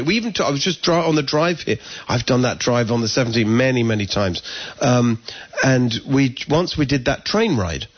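Earnest English man, muffled recording. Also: mid-range voice. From England. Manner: earnest, talks in quick restarts and self-corrections. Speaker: political candidate guest. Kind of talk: conversational interview.

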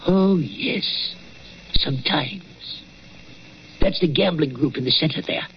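An actor playing a nervous old night watchman who talks in halting, eerie tones in a 1940s radio drama. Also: a little high, a little hiss or hum. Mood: hesitant. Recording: dull old radio recording with music.

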